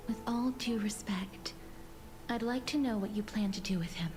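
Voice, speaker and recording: A woman's voice: mid-range voice, normal speed, natural voice. An actress playing a polite, deferential young lady in a video game, speaking carefully and formally. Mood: hesitant, respectful. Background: background music.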